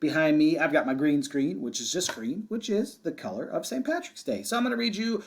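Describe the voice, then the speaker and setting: A man's voice: on the high side, expressive, fairly quick, creaky. A man reading a children's picture book aloud like a kindergarten teacher, with casual asides and encouraging, simple, sing-song delivery for young children.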